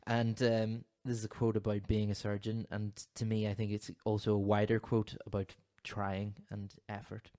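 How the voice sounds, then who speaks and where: A man's voice: on the low side, completely flat delivery, normal speed, hardly any pauses, mellow. A Northern Irish man co-hosting a casual podcast, rambling and restarting his sentences, with a slight cough. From Northern Ireland. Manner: rambling, self-interrupting, laughs easily. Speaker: podcast co-host, counsellor. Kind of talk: casual chat.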